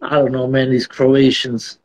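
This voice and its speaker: A man's voice: medium pitch, monotone, unhurried. A man in his thirties hosting a casual group video chat, with slangy, laid-back bro talk. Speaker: live broadcast host. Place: small home room. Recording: dull lo-fi video call audio.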